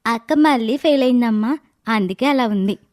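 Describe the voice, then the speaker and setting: A woman plays a chirpy little girl from a moral story, pitched very high. She is excitable and affectionate, speaking in an eager, childlike way. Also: brisk, close to an everyday voice. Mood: teasing.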